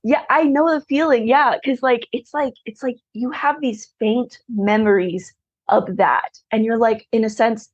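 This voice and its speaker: A husky, slightly breathy woman in her thirties, an author born in Taiwan with Mandarin as her first language. She talks earnestly and openly, full of "like" fillers, her voice close to tears. The sound is dry and a little dull, as over a video call. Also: on the high side, fairly even intonation, a little slow, dry recording. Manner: earnest, candid, frequent "like" fillers. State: tearful, close to crying. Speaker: guest, adoptee author. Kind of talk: emotional interview conversation.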